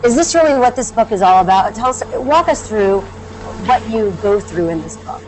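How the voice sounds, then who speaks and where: A woman from Canada interviewing a guest, friendly and quick to laugh. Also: medium pitch, lively intonation, darkish tone, soft background music, low-quality recording. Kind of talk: interview questions.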